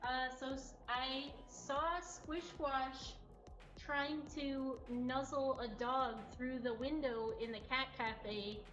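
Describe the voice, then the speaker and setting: Casting: woman livestream host, fairly high voice, giggly and affectionate, casual chat, dull lo-fi audio with music behind. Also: fairly even intonation, slow pace, fairly bright tone. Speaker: cat cafe livestream host. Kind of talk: casual live showcase chat. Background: faint music.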